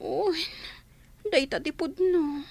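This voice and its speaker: An actress playing a fussy, whiny, demanding young pregnant wife in a radio drama. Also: female voice, sits high, slow, vocal fry, a little mellow, dry recording, exaggerated voice. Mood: whiny, upset.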